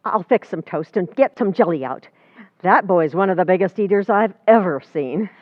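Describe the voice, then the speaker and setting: Lively, fussing homely landlady played by an actress. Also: lowish voice, moderate pace, no echo, lo-fi sound, close to an everyday voice. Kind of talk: old-time radio comedy acting.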